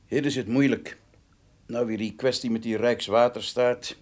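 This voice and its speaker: A man's voice: medium pitch, fairly even intonation, measured pace, a little mellow, no echo, natural voice. An actor playing a chatty, blunt countryman with a folksy manner in a Dutch radio drama. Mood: frank, probing. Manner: folksy, talks to himself. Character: countryman called the fox, chatty, blunt, meddlesome. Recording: noisy radio drama recording.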